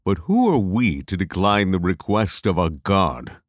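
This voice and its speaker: A man playing a cautious, thoughtful young fantasy adventurer in an audio drama, with a serious, measured way of speaking. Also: low voice, big pitch swings, dry recording, clean studio sound.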